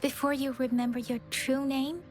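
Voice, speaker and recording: A woman playing a calm, caring young female scout in a video game, soft-spoken, measured and polite. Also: high voice, slight background noise, natural voice. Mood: gentle. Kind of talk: video game character voice acting. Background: background game music.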